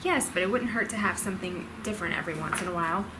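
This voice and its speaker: A woman's voice: on the low side, rapid-fire, background noise, natural voice. A woman voicing a friendly, encouraging young boy kitten in a storybook, upbeat and reassuring.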